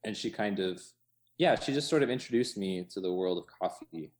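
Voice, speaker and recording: A man talking casually as an interview guest, in an informal, halting way full of hedging asides. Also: low voice, expressive. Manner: informal, halting, many hedging asides, chuckles through speech. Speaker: interview guest, coffee shop co-owner. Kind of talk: casual chat. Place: inside his cafe.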